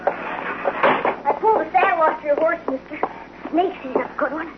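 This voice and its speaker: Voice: high-pitched, measured pace. A performer playing an eager, plucky young farm boy in an old radio western. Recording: muffled, very noisy old radio recording.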